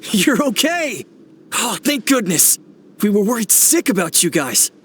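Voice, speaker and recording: A man voicing an earnest, excitable young villager in a video game, animated and sincere. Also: high-pitched, a little slow, a little hiss or hum, heavily acted. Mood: relieved.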